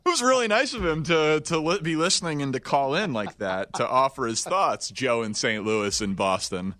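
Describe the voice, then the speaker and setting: A man's voice: mid-range voice, lively intonation, normal speed, bright, forceful tone. A man co-hosting a sports radio show in casual talk.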